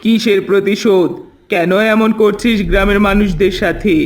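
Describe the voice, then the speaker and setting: A man performs an earnest villager in a cartoon tale. The voice sits high for a man. He speaks urgently and anxiously. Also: fairly even intonation, moderate pace, noisy background, close to an everyday voice. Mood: earnest, questioning. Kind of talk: Bengali cartoon story voice acting.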